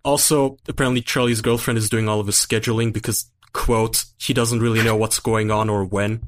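A man's voice: on the low side, little pitch movement, brisk. A man in his twenties co-hosting a podcast in casual chat, laid-back, swearing freely and laughing often. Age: 20s. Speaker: podcast co-host.

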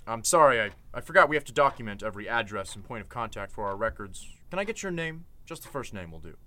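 An actor playing a polite, businesslike investigator going door to door in a radio drama, courteous and apologetic. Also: male voice, lowish voice, very animated, fast talker, bright, forceful tone, slight background noise. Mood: polite, inquiring. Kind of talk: old-time radio drama acting.

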